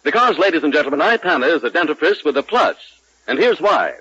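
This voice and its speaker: A man working as a 1940s radio announcer, delivering sponsor pitches and show patter. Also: very animated, quick pace, pushed and bright, slightly rough. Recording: muffled vintage 1940s radio recording.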